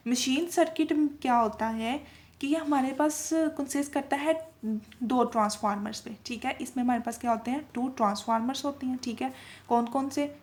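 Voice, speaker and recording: A woman's voice: on the high side, a little mellow. A woman lecturing informally, explaining step by step with much repetition, frequent check-in tags and English technical terms mixed in. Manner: switches between Urdu-Hindi and English technical terms. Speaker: lecturer in physiotherapy video lessons.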